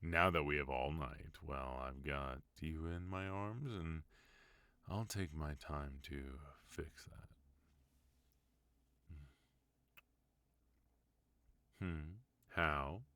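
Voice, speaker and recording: A tender but dangerous mafia boss husband, voiced by a man in an ASMR roleplay, speaking at an extremely low pitch. He takes his time over every word, soft and intimate, close to a dry, dead-sounding microphone. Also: clean tone, no echo, heavily acted. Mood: teasing, menacing. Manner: soft, intimate, unhurried delivery. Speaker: voice actor.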